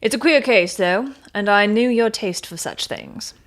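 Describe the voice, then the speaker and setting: A woman's voice: medium pitch, measured pace, close to an everyday voice. Woman playing an earnest, deferential Victorian police detective. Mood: self-satisfied. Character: deferential, self-satisfied male police detectives in Victorian London. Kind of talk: dramatic audiobook reading of a Victorian mystery.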